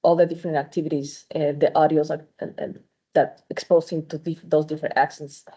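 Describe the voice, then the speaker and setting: A woman from Ecuador with an Ecuadorian Spanish accent, a Spanish speaker teaching Spanish, talking haltingly as she searches for words. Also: sits low, little pitch movement, dark tone, slightly rough, lo-fi sound.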